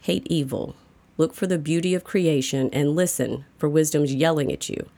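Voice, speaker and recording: A woman's voice: low-pitched, moderate pace, vocal fry, warm tone. A woman reads a scripted daily devotional as its narrator. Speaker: devotional reader.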